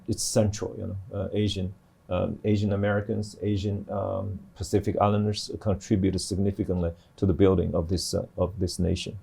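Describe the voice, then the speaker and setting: A middle-aged man explaining things on a panel as a professor, in a halting way with many restarts. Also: deep voice, gravelly, dark tone, breathy edge. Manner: halting, hesitant delivery with repeated restarts. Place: TV studio.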